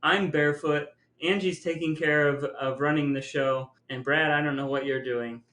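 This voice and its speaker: A man's voice: a little high, steady tone, moderate pace, a bit bright. A middle-aged American man from small-town Ohio, reminiscing as a podcast host in an effusive, descriptive storytelling way. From small-town Ohio, United States. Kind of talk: reminiscing conversation. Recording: echoey room sound.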